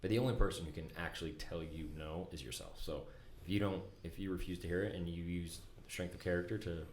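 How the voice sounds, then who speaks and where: Deep-voiced man, earnest and reflective. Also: quick pace, darkish tone, reverberant, slight background noise. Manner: earnest, reflective, with frequent ums and restarts. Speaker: company CEO guest. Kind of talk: casual podcast conversation.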